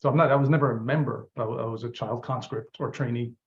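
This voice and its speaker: A man from Lebanon joins an interview over remote audio that sounds a little dull and muffled. He answers earnestly and explains himself at length, with emphatic stresses. His pitch sits in the ordinary middle for a man. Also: fairly even intonation, fast, creaky. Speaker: campaign manager. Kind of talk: interview guest.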